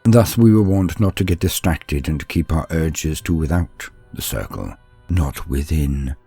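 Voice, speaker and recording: A man acts out an earnest, bulky young warrior-psyker telling his own story. His voice is low and husky, with dramatic swells and drops and solemn, formal, deliberate phrasing. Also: animated, warm tone, faint music behind, exaggerated voice. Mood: measured. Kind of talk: dramatic first-person story narration.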